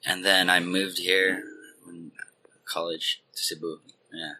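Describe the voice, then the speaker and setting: A man in his thirties, from Hong Kong, chats as an interview guest. His voice sits low yet comes across bright and forceful. He tells his stories loosely, with plenty of fillers. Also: slow pace, a little hiss or hum, low-quality recording. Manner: rambling, relaxed storytelling with many fillers. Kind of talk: casual interview chat.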